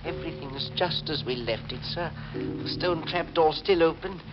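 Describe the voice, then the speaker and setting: Guy doing scared loyal butler, high voice, 40s radio horror acting, muffled old recording. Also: moderate pace, over music, natural voice. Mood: hushed dread. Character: frightened, deferential manservant, earnest and jumpy. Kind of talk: 1940s radio mystery acting.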